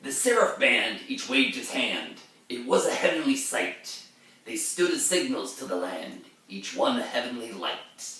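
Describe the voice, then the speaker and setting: A man's voice: medium pitch, big pitch swings, a little slow, pushed and bright, pitched above the performer's own voice. Echoing theatrical pirate played by a man reciting verse. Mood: awed, dramatic. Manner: theatrical, declaiming. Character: dramatic male pirate reciting an old sea poem. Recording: very echoey room, far from mic.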